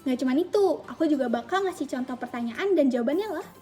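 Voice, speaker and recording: A woman's voice: high-pitched, animated, fast, mellow, soft background music. A young adult woman with an Indonesian accent presenting scripted tips as a vlog host, chatty and explanatory, speaking straight to viewers. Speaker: student vlog host giving interview tips.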